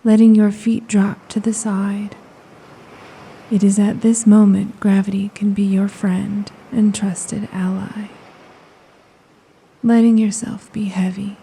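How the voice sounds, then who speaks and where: Very dark, soft woman's meditation voice. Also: mid-range voice, flat delivery. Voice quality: soft, breathy, often near a whisper. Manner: soothing, unhurried, with long pauses between phrases. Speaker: hypnosis and meditation guide. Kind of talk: slow guided meditation, hypnosis narration. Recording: faint ambient noise of ocean waves. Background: ocean waves.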